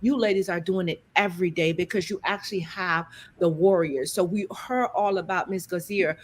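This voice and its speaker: A woman's voice: fairly low pitch, fairly even intonation, moderate pace. A woman with a scratchy voice hosting an online interview, conversational, sympathetic and encouraging. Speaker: host, interviewer. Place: remote video call.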